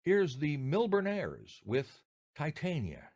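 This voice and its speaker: A man's voice: fairly high pitch, huge pitch swings, takes it slowly, a little mellow. An older American man from New Jersey co-hosting a podcast with jokey, theatrical scripted banter. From New Jersey, United States. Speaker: podcast co-host.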